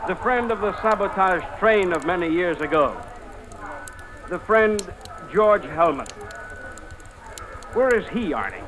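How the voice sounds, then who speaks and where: An actor playing an insinuating, accusing village man with deliberate, formal phrasing in a 1950s radio drama. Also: high voice, animated, a crowd in the background. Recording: noisy old radio broadcast recording.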